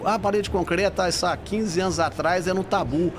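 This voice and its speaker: A man's voice: high-pitched, brisk. A middle-aged Brazilian man speaking enthusiastically and explaining things in a conversational interview. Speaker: public bank housing executive, podcast guest. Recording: busy trade fair background noise.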